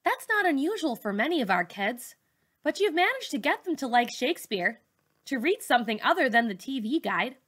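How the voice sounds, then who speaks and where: An animated, high-pitched female teacher from a children's novel, as a woman reader acts her out. She is supportive and frank, urging a colleague to ease up in a bright, emphatic voice. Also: brisk, heavily acted. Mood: persuasive. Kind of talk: children's novel read-aloud dialogue.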